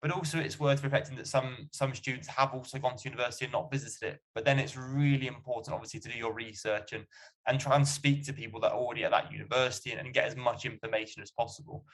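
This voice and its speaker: A man's voice: medium pitch, fairly even intonation, quick pace, fairly bright tone, low-quality recording. A man hosting a student question-and-answer panel in a conversational, encouraging way. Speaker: panel host.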